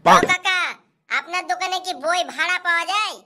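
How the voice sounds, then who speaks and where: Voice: very high voice, a little fast, noisy background. A performer voicing a cheeky, pushy schoolboy customer in a comedy cartoon, with whiny, insistent delivery. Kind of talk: comic cartoon dubbing.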